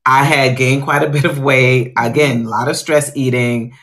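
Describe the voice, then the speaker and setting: A woman's voice: a bass voice, fairly even intonation, a bit bright. A woman in her late forties, originally from Guyana, a chef telling her story as a guest on a video call from home. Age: 40s. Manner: candid, storytelling, drawn-out emphasis. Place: home room.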